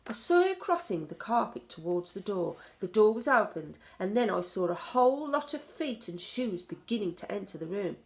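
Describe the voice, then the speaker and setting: A woman reading a children's story aloud in an expressive narrating style. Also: mid-range voice, normal speed, hardly any pauses. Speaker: storybook reader.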